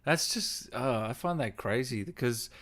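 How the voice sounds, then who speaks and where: Male podcast host, medium pitch. Casual, keen interviewer who affirms his guest. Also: lively intonation, moderate pace, fairly bright tone. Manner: enthusiastic, affirming.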